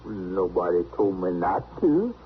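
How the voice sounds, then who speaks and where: An actor playing a naive, stubborn, good-natured backwoods drifter with a rustic Southern drawl, earnest and artless, in old radio western style. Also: male voice, sits low, sing-song, slow, phone-quality sound, exaggerated voice. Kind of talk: 1950s radio western acting. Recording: band-limited, noisy old radio recording.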